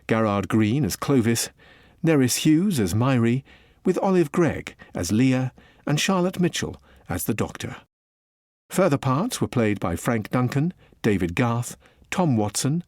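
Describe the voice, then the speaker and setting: A British man announces in a formal, scripted radio style. His mid-pitched voice is measured yet varied in intonation, recorded very dry. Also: darkish tone, dry recording.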